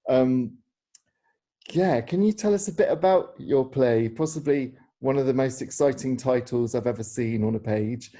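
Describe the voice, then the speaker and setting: A man with a very mellow, dark voice at a middle pitch hosts an informal interview. He feels his way through questions tentatively, often rephrasing as he goes. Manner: hesitant, tentative, rambling phrasing. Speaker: interviewer and theatre director. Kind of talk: informal question-and-answer hosting.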